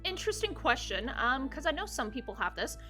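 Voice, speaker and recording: A woman streamer chatting casually with a guest, giving quick friendly responses. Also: sits high, sing-song, pushed and bright, soft background music. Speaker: streamer, tarot reader. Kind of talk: casual livestream chat.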